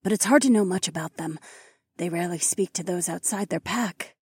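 Soft-spoken wolf-eared woman , voiced by a man, fantasy audiobook. Raised pitch, very breathy. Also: brisk, dry recording, clean studio sound. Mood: hushed curiosity.

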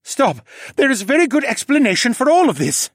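A defiant falcon man, voiced by a male narrator, pitched up from the reader's own voice. He is a blunt, urgent rogue from an audiobook, recorded dry. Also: very animated, a little fast, breathy edge, dry recording, exaggerated voice. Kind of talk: audiobook character voice.

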